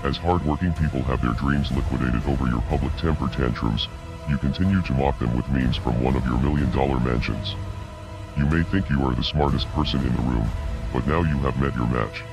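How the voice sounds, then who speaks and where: An extremely low, electronically disguised male voice reads a scripted message. The delivery is measured, formal and accusatory, with music playing underneath. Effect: pitched-down voice disguise. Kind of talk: scripted video message narration.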